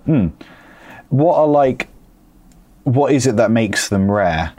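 A man's voice: medium pitch, expressive, slow pace, a little mellow, no room sound. A man co-hosting a podcast in casual chat, dry and sarcastic, teasing his co-host. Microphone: remote call setup.

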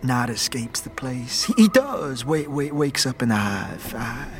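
A slow, stammering, addled street wretch. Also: male voice, mid-range voice, big pitch swings, soft background music. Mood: troubled recounting. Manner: halting stammer, repeats words, broken grammar. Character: filthy, broken, simple-minded male street wretch, anxious and addled. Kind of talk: fantasy audio drama acting.

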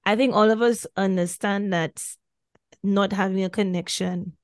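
A woman co-hosting a podcast who chats in a casual, animated way and paints pictures as she talks. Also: medium pitch, unhurried, no room sound, studio quality. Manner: animated, expressive, builds on images. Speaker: podcast co-host. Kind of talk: casual chat. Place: home, remote call.

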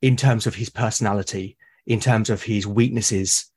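A man from Britain, a podcast guest, talking eagerly about his characters, with lots of restarts and a slightly husky voice. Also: on the low side, fairly even intonation, normal speed, a little mellow. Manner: enthusiastic, rambling with many restarts. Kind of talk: casual podcast conversation.

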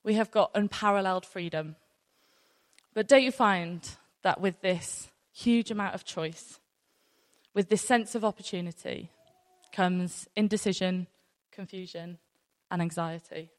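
A woman's voice: on the low side, unhurried. British woman preaching in an echoey hall. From near North Wales, UK. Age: 30s. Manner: conversational, anecdotal, with rhetorical questions. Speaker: church speaker. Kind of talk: informal sermon to a congregation. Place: large room, live talk to an audience. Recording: echoey hall with long reverb.

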